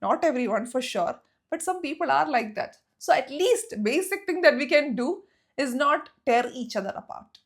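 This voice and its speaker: A young woman with a South Indian accent tells a story straight to camera, chatty and emphatic as she rants. Her voice sits a little high for a woman. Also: lively intonation, moderate pace. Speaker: vlogger.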